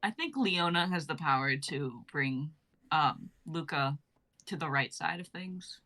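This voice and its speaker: A woman's voice: sits low, measured pace. A woman chatting and joking with friends on a live stream. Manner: animated, joking. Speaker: stream co-host. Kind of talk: casual chat on a live stream.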